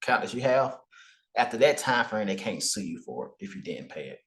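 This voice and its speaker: A man appearing as a guest on a podcast, explaining credit and travel hacking advice in a calm, measured way. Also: on the low side. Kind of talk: casual podcast interview.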